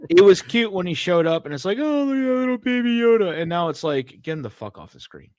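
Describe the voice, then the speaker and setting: A man's voice: high voice, big pitch swings, fast, darkish tone, no echo, studio quality. A man co-hosting a podcast, chatting casually about films in a blunt, profane, grumbling way. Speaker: podcast co-host. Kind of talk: casual chat, film commentary.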